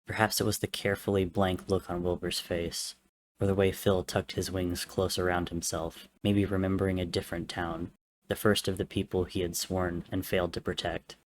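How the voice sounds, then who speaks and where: A man's voice: low-pitched, flat delivery, normal speed. A man reading fiction as a dramatic, scripted narrator. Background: soft music or ambience.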